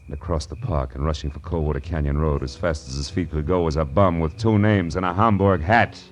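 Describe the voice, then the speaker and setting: Wisecracking American gumshoe for vintage radio crime drama, deep-set voice, sardonic and streetwise. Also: male voice, brisk, faint music behind. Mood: tense, grim. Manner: wry, tough, slangy. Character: hard-boiled, wisecracking male private detective. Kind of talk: 1940s radio noir narration and dialogue.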